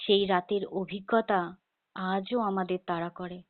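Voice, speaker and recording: Female horror narrator, measured and dramatic, mid-pitched, on a narrow phone-like recording. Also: fairly even intonation, dry recording. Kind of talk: scripted first-person ghost story narration. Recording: tinny phone-like narrow band.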